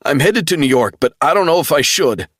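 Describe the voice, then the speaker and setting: A man's voice: very animated, moderate pace, a bit bright, breathy edge, no room sound, clean studio sound, heavily acted. A man doing a distraught young man, pleading through tears. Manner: sobbing, pleading, high-strung.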